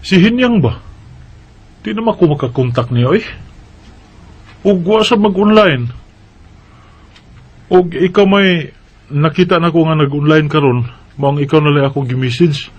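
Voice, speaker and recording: An actor playing a heartbroken young overseas worker in a radio drama, earnest and emotive, with a halting delivery. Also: male voice, a little high, lightly acted. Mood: anxious, puzzled. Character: heartbroken overseas worker, a young man searching for his girlfriend. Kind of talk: radio drama acting and narration.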